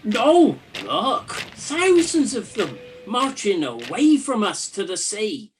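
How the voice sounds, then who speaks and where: A woman's voice: mid-range voice, sing-song, takes it slowly, slight background noise. A woman playing a chatty, gullible Trojan soldier in a comic radio play, musing in a wry, conversational way. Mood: excited, amazed. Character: bored, gullible, chatty Trojan night-watch soldier in a spoof.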